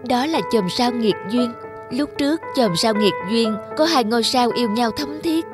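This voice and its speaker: A woman playing a gentle, devoted young fairy maiden in a cartoon fairy tale, speaking politely and earnestly. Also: fairly high pitch, lively intonation, normal speed, lightly acted. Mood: tender, wistful. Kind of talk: animated fairy tale acting. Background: background music.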